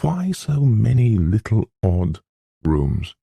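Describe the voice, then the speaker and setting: A man's voice: lowish voice, huge pitch swings, takes it slowly, vocal fry, dark tone, breathy edge, dry recording, pitched above the performer's own voice, heavily acted. A man voicing a spirited, theatrical young woman with an emphatic, wry manner. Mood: uneasy, complaining.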